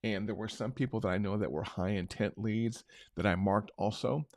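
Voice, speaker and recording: Booming-voiced man, low and dry. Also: normal speed, dry recording. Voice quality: big booming voice. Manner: unscripted, conversational, many restarts. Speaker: marketing show host. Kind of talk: casual talk-show recap.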